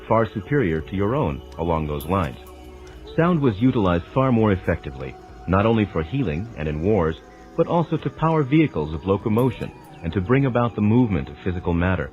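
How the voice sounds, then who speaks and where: A man delivering a dramatized scripted narration of a spiritual text in a measured, formal, lecturing manner. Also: low-pitched, a little mellow. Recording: dull lo-fi sound with music underneath. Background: background music.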